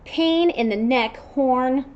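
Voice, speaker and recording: A woman's voice: high voice, slow pace, lo-fi sound. A woman as an emphatic, modest storybook unicorn. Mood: self-deprecating. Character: modest, friendly unicorn, proud yet self-doubting. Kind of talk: children's picture book character voice.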